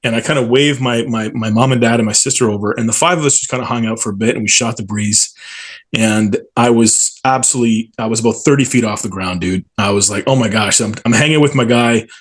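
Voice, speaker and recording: A man's voice: medium pitch, steady tone, quick pace. Man reminiscing with enthusiasm. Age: 40s. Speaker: stadium PA announcer, interview guest. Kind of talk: casual storytelling in an interview.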